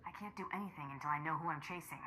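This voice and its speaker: A woman's voice: deep voice, rapid-fire, bright, forceful tone, slight background noise, low-quality recording. An actress playing a cool, curt female bounty hunter in a video game, clipped and businesslike. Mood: cool, confident. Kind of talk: cinematic video game dialogue acting.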